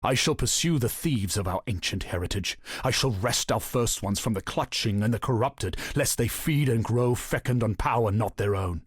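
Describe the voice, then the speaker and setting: A male actor playing a proud, grim warrior king turned wolf-god in a video game, declaiming solemnly with a gravelly, husky voice. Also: fairly low pitch, no room sound, studio quality. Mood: grim, resolute. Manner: solemn, declamatory, deliberate oratory. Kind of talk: dramatic scripted game monologue.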